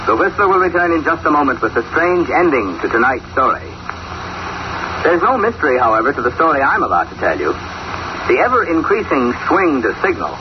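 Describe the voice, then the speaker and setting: A man's voice: fairly high pitch, big pitch swings, fairly quick. A man announcing a scripted 1940s radio commercial with polished, emphatic salesmanship. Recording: dull, noisy vintage radio recording.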